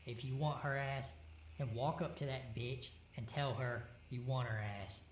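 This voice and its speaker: A man voicing a brash, cocky young man who talks loud and crude. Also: mid-range voice, fairly even intonation, measured pace, roomy sound, slight background noise, close to an everyday voice. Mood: bossy. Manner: loud, swaggering, profane.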